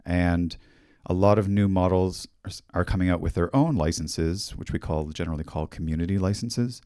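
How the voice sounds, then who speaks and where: A middle-aged man with a Canadian accent giving a technical conference talk in a fairly monotone, steady voice, speaking into a handheld mic on a hall stage. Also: low-pitched, normal speed, clear, resonant tone, clean studio sound. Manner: monotone, steady explanatory delivery. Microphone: handheld microphone.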